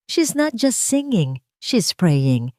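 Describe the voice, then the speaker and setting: A woman narrator voicing awestruck party guests murmuring with slow, hushed admiration in an audio story. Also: medium pitch, sing-song, no echo, studio quality, pitched above the performer's own voice. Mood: awed.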